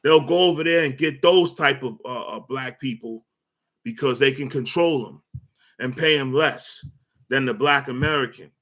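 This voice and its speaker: A man's voice: mid-range voice, moderate pace, a bit bright. Rambling opinionated man ranting about sports. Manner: rambling, with frequent fillers and repeated words; blunt and opinionated. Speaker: sports commentary host. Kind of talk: casual sports rant.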